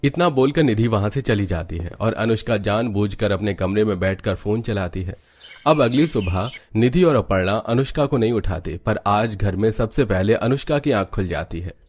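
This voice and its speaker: Low-pitched male story narrator. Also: expressive, normal speed, a little mellow.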